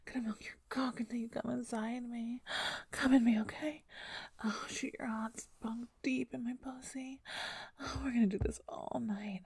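A young woman performing an intimate audio roleplay in a soft, breathy whisper close to the mic. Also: a little high, steady tone, moderate pace, no echo.

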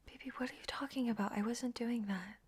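A woman playing a coaxing, evasive partner in an intimate audio roleplay, speaking in a breathy whisper. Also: on the high side, steady tone, a little fast, darkish tone, slight background noise, natural voice. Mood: defensive, coaxing. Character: coaxing, evasive female partner soothing someone at night.

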